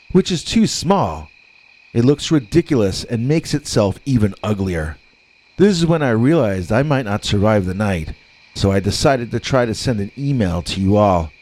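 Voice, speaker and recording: A man reading scripted scary stories as a narrator, with dramatic, deliberate delivery and drawn-out words. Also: medium pitch, a little mellow.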